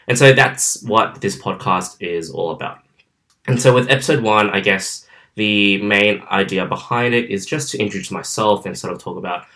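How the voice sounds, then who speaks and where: A man's voice: deep voice, sharp tone. A man of about twenty-one with an Australian accent, chatting on his own podcast from his bedroom in an outgoing way with many fillers. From southwestern Sydney, Australia. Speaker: podcast host. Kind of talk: casual solo podcast monologue. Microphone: camera, filmed.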